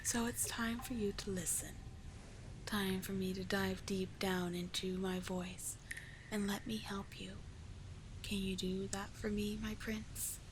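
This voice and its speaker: Seductive sea witch played by a woman, ASMR roleplay. Breathy, hypnotic, unhurried, mid-pitched, over clearly noisy ambience. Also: steady tone, lightly acted. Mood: commanding, hypnotic. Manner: breathy, whispery, coaxing, lingering on words. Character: seductive, doting, manipulative female sea witch of the deep caves. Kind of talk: ASMR roleplay, slow hypnotic sleep-aid delivery.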